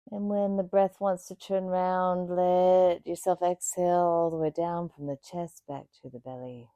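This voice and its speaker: A woman leads a guided relaxation practice in a low, mellow voice. She speaks very slowly and soothingly, leaving space between short phrases. The sound is dry and close, without room echo. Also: steady tone. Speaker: yoga nidra meditation guide. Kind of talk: guided meditation.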